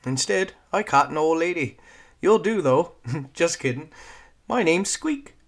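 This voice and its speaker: A brash little forest girl, voiced by a man, chatters in a lilting Newfoundland accent, high and loud. Her husky, lively voice runs on, cheeky and eager in a storybook reading. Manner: chatty, cheeky, rapid-fire. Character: brash nine-year-old forest girl trapper.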